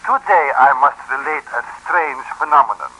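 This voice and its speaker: A man delivering deliberate, sardonic scripted commentary as a 1950s radio commentator. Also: medium pitch, lively intonation, sharp tone, a little hiss or hum. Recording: dull, lo-fi 1950s radio broadcast.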